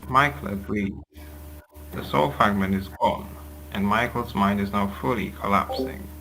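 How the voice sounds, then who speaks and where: A man's voice: lowish voice, measured pace, pushed and bright, low-quality recording. A man reading scripted narration of a fantasy action story as its narrator. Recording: clear background noise and hum.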